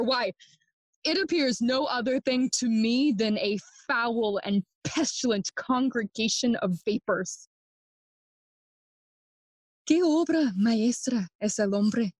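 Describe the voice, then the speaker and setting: A woman's voice: sits high, no echo. A woman playing a brooding, mocking young prince who feigns madness, with theatrical Shakespearean delivery in an audio play. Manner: theatrical, deliberate verse delivery. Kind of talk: Shakespearean audio play acting, bilingual English and Spanish.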